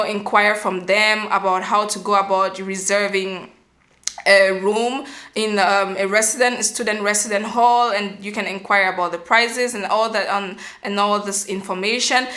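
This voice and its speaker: A young woman from Cameroon, giving earnest step-by-step advice in a vlog, often pausing on "um" and restarting. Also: mid-range voice, fairly even intonation, bright, forceful tone. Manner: earnest, explanatory, frequent um fillers and restarts. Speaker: vlogger.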